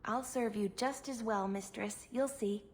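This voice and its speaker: Game role: young female elf, former slave, timid and obedient, lightly high voice. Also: measured pace, slight background noise. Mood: sad, meek. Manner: meek, deferential. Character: timid young elven servant girl, meek and eager.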